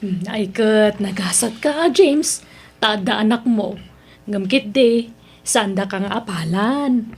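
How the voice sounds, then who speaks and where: A woman with an Ilocano accent acting a devoted, practical older market-vendor wife in an audio drama, with a husky voice. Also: mid-range voice, slow, darkish tone. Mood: wary, stung.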